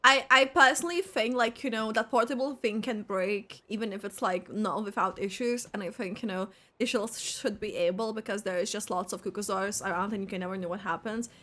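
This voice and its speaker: A woman giving casual reaction commentary on a video game, with halting phrasing. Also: mid-range voice, steady tone, pushed and bright.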